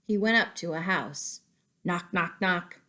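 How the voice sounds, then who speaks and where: Slow-paced woman reading to young children. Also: fairly low pitch. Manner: expressive, deliberate storyteller addressing young children. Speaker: children's school story-time teacher. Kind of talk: children's read-aloud of poems and picture books.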